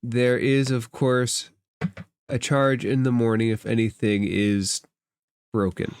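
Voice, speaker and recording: A man's voice: medium pitch, flat delivery, slow, smooth, dry recording, studio quality. A man narrating a tabletop role-playing game as game master.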